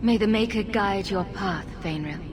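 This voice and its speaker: An actress playing a calm, diplomatic heroine in a fantasy video game, speaking in a measured, gentle way. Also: female voice, medium pitch, close to an everyday voice. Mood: composed, kind. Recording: noisy game ambience.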